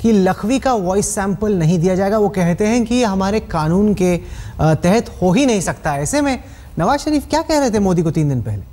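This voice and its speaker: A woman's voice: mid-range voice, lively intonation, normal speed, warm tone. A woman news anchor conducting a broadcast interview with pointed, probing questions.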